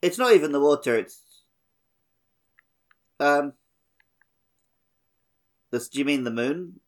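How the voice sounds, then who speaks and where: A man's voice: fairly high pitch, expressive, slow. A man co-hosting a podcast, talking casually about a video game with friends. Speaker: podcast co-host.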